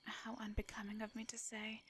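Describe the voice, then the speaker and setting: A woman playing a shy, formal vampire in a roleplay audio drama, with an English accent, a soft breathy voice and hesitant, flustered phrasing. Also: mid-range voice, monotone, brisk, slight background noise, close to an everyday voice.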